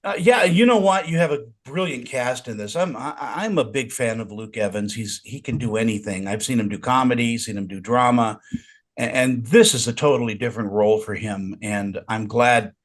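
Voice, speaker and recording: A man's voice: medium pitch, normal speed. Male interviewer, mid-range voice, enthusiastic and complimentary. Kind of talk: interview questions.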